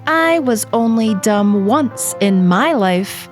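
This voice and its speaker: A woman's voice: on the high side, animated, takes it slowly, soft background music. A woman playing a wife and mother in a horror audio drama. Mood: scornful. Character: scornful, unimpressed wife and mother.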